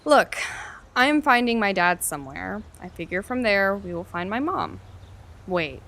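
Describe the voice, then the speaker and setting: A woman's voice: medium pitch, animated, unhurried, a bit bright. A woman playing a plucky, determined young girl in an audio play, speaking in an earnest, direct way.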